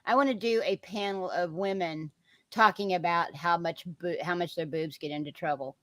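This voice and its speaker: A woman's voice: lowish voice, normal speed, fairly bright tone, no room sound. A woman, chatting candidly on a group live stream and laughing often. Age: 60s. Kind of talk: casual group video chat.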